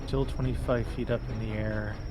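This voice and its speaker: A man's voice: lowish voice, fairly even intonation, moderate pace, poor audio. A man chatting casually as a tabletop game player, thinking aloud. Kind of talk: casual chat while playing. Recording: music under the voice. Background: music.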